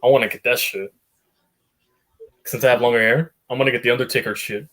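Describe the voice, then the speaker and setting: A man's voice: medium pitch, steady tone, fast talker, a bit bright. A man from Texas chatting casually on a stream, rambling, joking and swearing. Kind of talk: casual chat.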